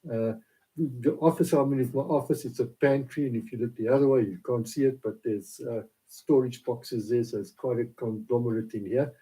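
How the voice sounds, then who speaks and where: A South African man, Afrikaans his first language, giving a rambling, halting devotional talk into a laptop camera in his small home office. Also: medium pitch, steady tone, dark tone, low-quality recording. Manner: rambling, halting with many fillers.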